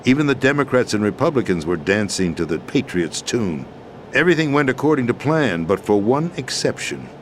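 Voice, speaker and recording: An actor playing a weary, formal deposed president and schemer in a video game, explaining things in a measured, deliberate way. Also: male voice, mid-range voice, sing-song, slight background noise, close to an everyday voice. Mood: grave, measured. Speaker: video game character in a cutscene. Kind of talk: dramatic expository video game acting.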